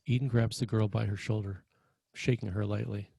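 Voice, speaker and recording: A man with a low, husky voice narrates a screenplay. He reads scene directions as scripted narration, and the sound is dry and close. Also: fairly even intonation, moderate pace, dark tone, no echo. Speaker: narrator reading stage directions.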